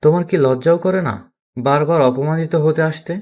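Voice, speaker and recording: A man's voice: on the high side, normal speed, darkish tone, natural voice. Man playing a stern, scolding father. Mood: angry. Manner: harsh, scolding. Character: stern, angry, contemptuous middle-aged father. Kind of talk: audio story acting.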